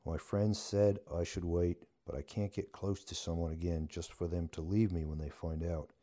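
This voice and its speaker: A man's voice: deep voice, flat delivery, normal speed, dark tone. A man voicing a candid, resigned young man who is guarded but caring, in a storybook reading. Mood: reluctant. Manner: frank, resigned, plain-spoken.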